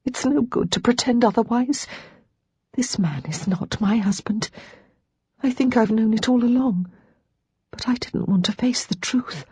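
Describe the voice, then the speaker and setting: A woman narrator voicing Lara, a young widow who is ashamed, despairing and crying, in a husky voice that keeps dropping to a whisper. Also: mid-range voice, normal speed, darkish tone, no room sound, pitched above the performer's own voice. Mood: despairing, tearful. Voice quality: husky, tearful, often whispering.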